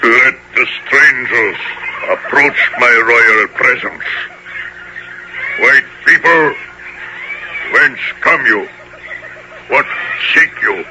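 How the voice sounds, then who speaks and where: A man playing a gigantic, cruel one-eyed African king in a radio drama, imperious and deliberate. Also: medium pitch. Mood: imperious, haughty. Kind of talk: 1970s radio drama acting. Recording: noisy old radio drama recording.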